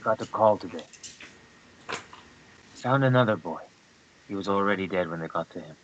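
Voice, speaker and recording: Guy acting a troubled boyfriend, kinda low voice, halting and understated, script read. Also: lo-fi sound, slight background noise, lightly acted. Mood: grim, conflicted. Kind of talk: script table read, acted dialogue.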